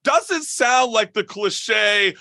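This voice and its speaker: A young male host with a high, bright voice chats casually and effusively. He rambles in slangy phrases, and the sound is close and dry. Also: animated, very slow, creaky, dry recording. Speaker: talk show host. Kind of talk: casual chat.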